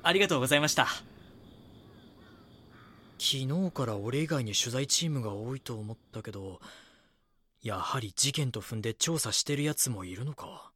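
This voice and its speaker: An actor playing a young magazine reporter in an audio drama, polite, earnest and persistent. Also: male voice, medium pitch, expressive, moderate pace, pauses often, sharp tone, no echo, studio quality. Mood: pensive. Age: young adult. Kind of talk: audio drama acting with inner monologue.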